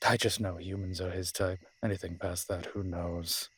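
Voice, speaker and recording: A man acting as a wry, flirtatious incubus demon in a roleplay audio drama, teasing and sardonic, with a husky, breathy voice. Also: low voice, little pitch movement, normal speed, a bit bright.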